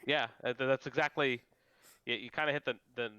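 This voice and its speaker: Bright-voiced man on a dull, dry mic. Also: medium pitch, steady tone, fairly quick, clear, resonant tone, dry recording. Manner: agreeable, hedging, trails off. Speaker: co-host. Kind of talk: casual game commentary.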